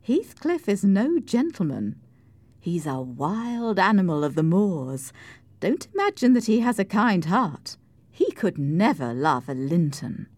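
Woman doing wilful young lady for an audiobook, excitable, swoopy pitch, close dry sound. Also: measured pace, dry recording. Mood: scornful warning. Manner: excitable, emphatic. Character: headstrong, passionate young married gentlewoman, mocking and wilful. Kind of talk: audiobook character voice.

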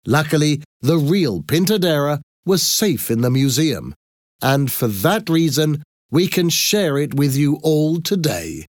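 A man performs a pleased, proud museum archaeologist from a storybook. He presents formally with emphatic, melodic phrasing in a raised pitch, and the sound is dry. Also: sing-song, unhurried, no room sound.

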